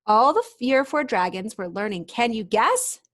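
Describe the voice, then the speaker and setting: Woman reading to children, very animated pitch. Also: moderate pace, a little hiss or hum. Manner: explains and asks questions to young listeners. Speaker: storybook reader for children. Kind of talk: expressive read-aloud with chatty asides.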